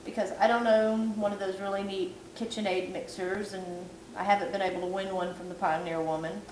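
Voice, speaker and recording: A woman's voice: medium pitch, little pitch movement, a little fast, a little mellow. Woman, losing her voice, chatty, self-deprecating, echoey room, audible background noise. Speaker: vlogger. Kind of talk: casual cooking tutorial. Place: home kitchen at night. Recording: echoey kitchen, far from camera, background noise.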